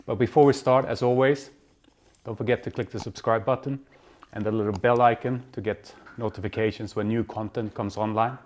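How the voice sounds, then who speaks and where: A man from Belgium hosting his own cooking show, walking through a recipe in a relaxed, step-by-step way in a home kitchen. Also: fairly low pitch. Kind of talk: casual cooking demonstration.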